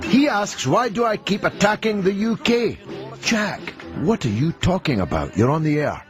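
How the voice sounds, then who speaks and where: Combative British radio host. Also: male voice, high-pitched, very animated, normal speed, soft background music. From Britain. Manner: combative, questioning, rhetorical. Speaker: radio talk show host. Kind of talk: phone-in talk radio. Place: radio studio. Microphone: studio mic.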